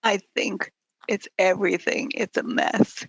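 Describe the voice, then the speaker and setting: A woman speaking frankly in a group conversation in a weakening, often whispered voice, halting and breathy with long pauses. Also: medium pitch, steady tone, no echo, highs cut off. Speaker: host of a conversation series.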